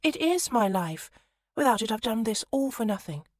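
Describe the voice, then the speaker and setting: A woman's voice: sing-song, brisk, darkish tone, dry recording, clean studio sound. A woman voicing a frightened but stubborn young woman in an audiobook, raised and pleading. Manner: high, pleading.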